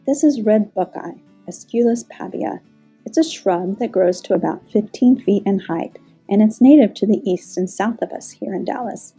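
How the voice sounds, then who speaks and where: A middle-aged woman, a garden manager, narrating a scripted plant tour in an informative, measured way. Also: medium pitch, dark tone, breathy edge.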